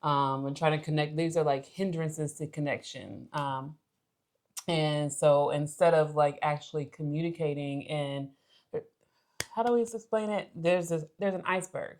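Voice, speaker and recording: Female co-host, low register, conversational explainer for a relationship show. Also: steady tone, normal speed, a little mellow.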